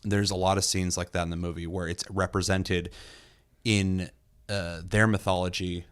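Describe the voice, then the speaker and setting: Low-voiced man chatting about a film. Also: flat delivery, normal speed, clear, resonant tone. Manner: loose and enthusiastic, many fillers, occasional swearing. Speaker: movie reviewer, podcast host. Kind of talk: casual film review chat.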